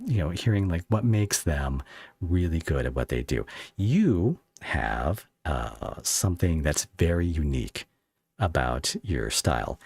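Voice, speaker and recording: A man with a very deep voice interviews as a podcast host. His intonation is highly animated, and he talks effusively in halting, thinking-aloud phrases. The sound is dry and close, with little room to it. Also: breathy edge, dry recording. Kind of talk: casual conversational interview.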